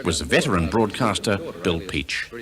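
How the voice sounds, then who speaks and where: A man's voice: low-pitched, expressive, moderate pace. A man with an Australian accent reading scripted narration for a documentary tribute.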